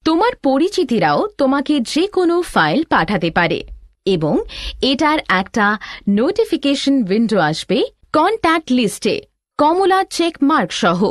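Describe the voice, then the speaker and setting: A woman voicing an instructive elder sister in an animated lesson, giving deliberate, scripted step-by-step tutorial narration. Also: high-pitched, dry recording, clean studio sound.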